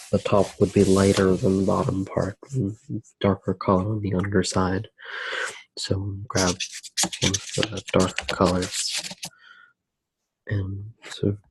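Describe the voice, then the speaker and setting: A soft-spoken man doing an unscripted ASMR demonstration, musing aloud in a hushed way as he works. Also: low-pitched, level tone, slow, long pauses, a little hiss or hum, poor audio. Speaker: ASMR artist narrating own drawing.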